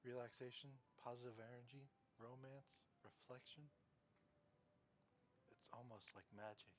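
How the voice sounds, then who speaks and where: A man voicing a shy, refined teenage girl, reading quietly. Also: mid-range voice, fairly even intonation, unhurried, a little hiss or hum, natural voice. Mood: dreamy.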